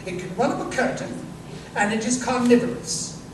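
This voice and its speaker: An actor playing an incisive, self-assured detective in a live 1930s-style radio drama, speaking precisely and emphatically. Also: male voice, extremely high pitch, normal speed. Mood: analytical. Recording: distant, echoey live stage recording, noisy room.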